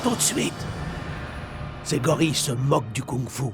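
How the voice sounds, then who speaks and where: A man voicing a stern old kung-fu master, a small animal mentor in a game dub, solemn and commanding with a gravelly voice. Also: medium pitch, very animated, a little slow. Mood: stern, urgent. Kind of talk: dubbed video game acting. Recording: prominent music behind the voice.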